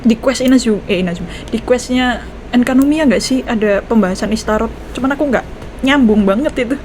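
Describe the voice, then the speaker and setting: Young woman, Indonesian accent, excitable reaction chat, slightly high pitch. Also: fast talker, slight background noise. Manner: excitable, chatty, laughs often. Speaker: gaming streamer. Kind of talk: casual let's-play reaction. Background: game music.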